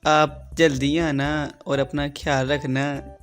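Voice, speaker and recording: A man's voice: on the high side, little pitch movement, slow, faint music behind. A man voicing a protective father bird in a children's cartoon. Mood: caring.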